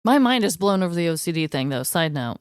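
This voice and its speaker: Animated woman chatting as podcast co-host. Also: on the low side, fast, clean tone, dry recording. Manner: supportive, expressive. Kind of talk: casual chat, reacting and listening.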